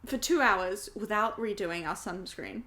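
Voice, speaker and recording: Bright, sharp-voiced New woman. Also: mid-range voice, expressive. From New Zealand. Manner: agreeable, many quick yeahs, heated rants. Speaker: podcast co-host. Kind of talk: casual chat.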